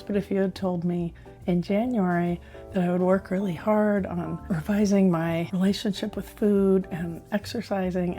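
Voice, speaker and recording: A woman in her fifties with a hoarse voice, talking candidly to camera in a personal health vlog. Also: medium pitch, warm tone. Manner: candid, chatty, self-reflective. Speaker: health vlogger.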